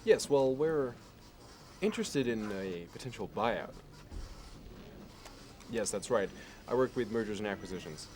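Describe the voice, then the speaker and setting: A man playing a smug, meticulous Manhattan investment banker in an ASMR roleplay, speaking in a measured, self-assured way. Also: lowish voice, expressive, a little mellow. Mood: smooth businesslike.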